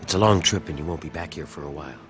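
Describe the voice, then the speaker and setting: An actor playing a weary, terse slave rebel in a video game, gruff and businesslike. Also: male voice, very deep voice, racing, soft background music.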